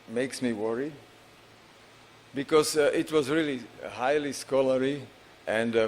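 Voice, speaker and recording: Slow, halting Slovak-accented man. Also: mid-range voice, a little hiss or hum. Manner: halting, many filler pauses, non-native phrasing. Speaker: conference speaker. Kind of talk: conference talk. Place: large conference hall on stage.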